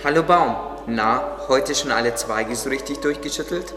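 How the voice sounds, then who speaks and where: A man's voice: mid-range voice, normal speed, close to an everyday voice. A man playing a kindly, devout gardener who explains things earnestly in an audio drama. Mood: friendly. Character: devout, kindly male gardener, patient teacher. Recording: echoey, long reverb, under music. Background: music.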